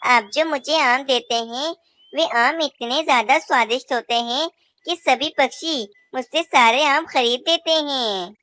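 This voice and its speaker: Woman doing a sweet high bird girl. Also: a little slow, pushed and bright. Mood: grateful. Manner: sweet, polite, eager. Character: cheerful young female bird mango seller. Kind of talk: cartoon voice acting.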